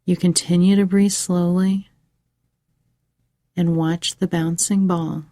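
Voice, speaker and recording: A woman narrating a scripted guided meditation for children in a measured, soothing way with pauses. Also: lowish voice, flat delivery, mellow, no echo, clean studio sound.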